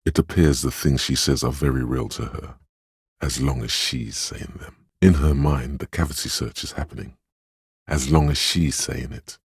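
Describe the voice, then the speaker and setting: An actor playing a grave, compassionate inner voice of empathy in a game, narrating in a measured, solemn and tender way. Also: male voice, a bass voice, vocal fry, breathy edge, no room sound, studio quality, heavily acted. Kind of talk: dramatic game narration, second person.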